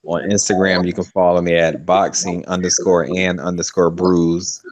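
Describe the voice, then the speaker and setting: A man's voice: low-pitched, little pitch movement, normal speed, a crowd in the background. A man hosting a casual podcast, rambling loosely as he thanks his listeners. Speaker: podcast host. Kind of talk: casual chat.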